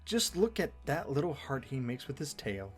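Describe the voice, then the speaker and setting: A man's voice: medium pitch, big pitch swings, normal speed, soft background music. A man giving scripted back-and-forth commentary as co-host of a fan show.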